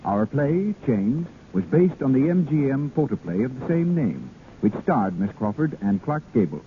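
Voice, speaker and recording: A man's voice: mid-range voice, big pitch swings, normal speed, phone-quality sound, a little hiss or hum. An American man reading scripted announcements as a 1930s radio announcer. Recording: thin vintage radio broadcast.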